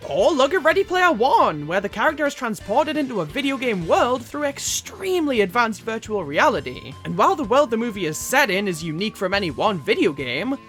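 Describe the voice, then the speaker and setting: A woman's voice: mid-range voice, a bit bright. A woman narrating a scripted video essay as host, with a wry, casual manner. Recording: music playing behind. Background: background music.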